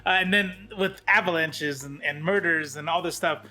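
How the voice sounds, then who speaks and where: A man's voice: a little high, normal speed, sharp tone, soft background music. A man hosting a casual interview in an enthusiastic, jokey way. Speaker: podcast host.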